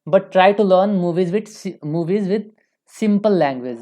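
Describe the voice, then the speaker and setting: A woman's voice: lowish voice, normal speed, warm tone. A young woman with an Indian accent giving an informal English lesson, repeating phrases for emphasis. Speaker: online English teacher. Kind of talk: informal instructional lecture.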